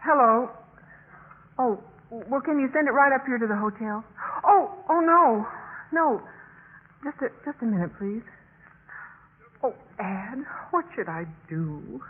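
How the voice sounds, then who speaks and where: Young American college girl, earnest, hesitant, very animated delivery, thin noisy vintage radio drama sound. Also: on the high side, a little slow, pauses often, breathy edge. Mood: flustered. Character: earnest, emotional young college sorority pledge. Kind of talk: 1950s radio drama acting. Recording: muffled, noisy 1950s radio recording.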